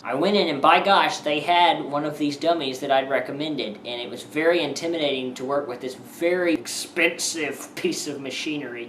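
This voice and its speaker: A man, a puppeteer, telling behind-the-scenes stories in a chatty, self-deprecating way, pausing often with "uh.". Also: mid-range voice, fairly bright tone. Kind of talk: casual spoken storytelling. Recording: roomy, from a distance, faint hiss.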